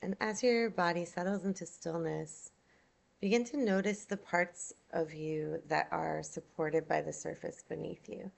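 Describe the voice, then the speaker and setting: Slow, very creaky-voiced woman guiding meditation. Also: on the low side. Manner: soothing, unhurried, gentle instruction with long pauses. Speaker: yoga nidra instructor. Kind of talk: guided meditation.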